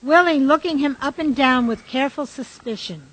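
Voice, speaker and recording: A woman's voice: high voice, normal speed, a bit bright, poor audio. A woman reading scripted stage directions as the matter-of-fact narrator of a radio drama. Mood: descriptive.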